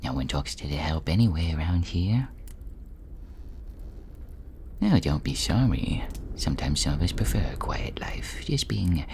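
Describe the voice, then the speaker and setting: A man voicing a meek, chatty dungeon servant with a hushed, throat-burnt rasp and a hesitant, self-effacing manner in an audio role-play. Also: a bass voice, big pitch swings, normal speed, a little hiss or hum, heavily acted.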